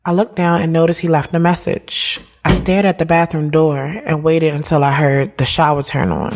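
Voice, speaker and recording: A woman in her thirties playing a sharp-tongued, moody woman who tells her own story in a candid, slangy audio drama. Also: low voice, fairly even intonation, normal speed. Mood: candid narrating. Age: 30s. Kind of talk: first-person audio drama narration and dialogue. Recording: music bed behind the voice.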